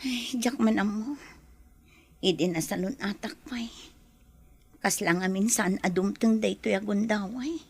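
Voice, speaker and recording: A woman's voice: medium pitch, a little slow, pauses often, breathy edge. A woman acting an ailing, remorseful mother in an Ilocano audio drama. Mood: weary, regretful. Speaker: actor in a drama.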